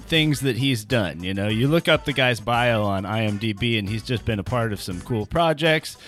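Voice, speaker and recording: A man's voice: mid-range voice, animated, normal speed, fairly bright tone, soft background music. A man co-hosting a podcast in casual banter, talking in a loose, hesitant, rambling way. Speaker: podcast co-host.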